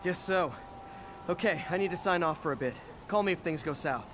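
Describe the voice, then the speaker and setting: An actor playing a young, quippy, protective American superhero in a video game. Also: male voice, fairly high pitch, lively intonation, brisk, background noise, natural voice. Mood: wry, brisk.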